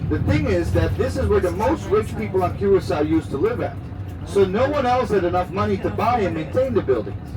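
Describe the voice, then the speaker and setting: Tour guide over loud bus noise. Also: male voice, sits high, expressive, fairly quick. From Netherlands. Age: 30s. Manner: chatty, joking, addresses the group directly. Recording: distant muffled voice over loud vehicle noise.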